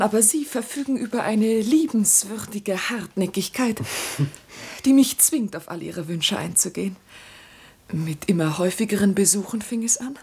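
A woman playing an elegant, reserved widow in a 1960s German radio play, refined, measured and breathy. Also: medium pitch. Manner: refined, measured, gently hesitant. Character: elegant, reserved widowed lady being courted.

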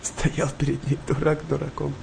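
An actor playing a shy, sensitive, worn-out eighteen-year-old sailor in a radio play, confiding in a hesitant, naive way. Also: male voice, fairly high pitch, completely flat delivery, normal speed, vocal fry, noisy background. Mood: shy, wistful. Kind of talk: naturalistic radio play dialogue.

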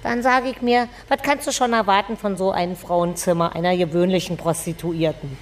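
A woman's voice: on the low side, steady tone, fairly quick, slight background noise, lightly acted. An actress playing a gossipy, earthy Berlin landlady in a radio drama, chatty and coy, then blunt. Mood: chatty, indignant.

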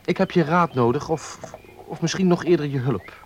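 An actor playing a charming, spoiled young student, smooth-talking and teasing in a radio drama. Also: male voice, a little high, normal speed, a little hiss or hum, natural voice. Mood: sheepish, wheedling. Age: young adult.

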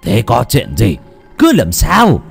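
A gruff older village husband in a spooky tale , as a male storyteller puts him on. He speaks at an ordinary male pitch, bluntly scoffing and grumbling in earthy words. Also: huge pitch swings, slow pace, faint music behind, exaggerated voice.

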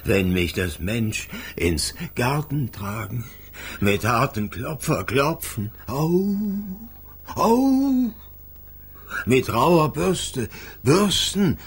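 Husky, foreign-accented old talking carpet. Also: male voice, mid-range voice, big pitch swings, drawn-out words, exaggerated voice. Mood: lamenting. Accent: foreign-accented German. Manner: broken grammar, muttering, lamenting. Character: old shaggy talking carpet, plaintive, proud, submissive. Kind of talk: children's radio play acting.

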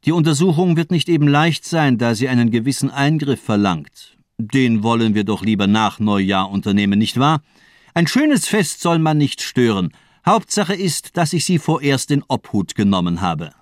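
A man's voice: mid-range voice, animated, normal speed, dry recording, studio quality, natural voice. A man playing a coolly mocking, menacing doctor in a German radio drama, speaking with polite, articulate condescension. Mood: falsely considerate. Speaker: actor in a crime radio play.

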